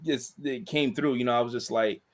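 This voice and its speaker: A man in casual chat who tells a long story in a monotone voice, rambling with many restarts and asides. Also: medium pitch, brisk. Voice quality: monotone voice, self-described. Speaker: co-host and school staff member.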